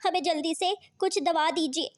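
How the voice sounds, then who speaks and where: A very high-pitched cartoon mother bird, played by a woman. She sounds motherly and fretful, quick to admonish and full of care. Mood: pleading. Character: caring, scolding female bird, mother and neighbour.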